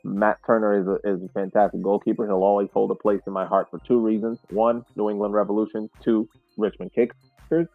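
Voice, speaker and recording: An American man with a fairly low voice comes through thin, phone-like audio with little room sound. He gives casual sports analysis as a podcast co-host, reasoning point by point in a measured way. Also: level tone, no echo, faint music behind.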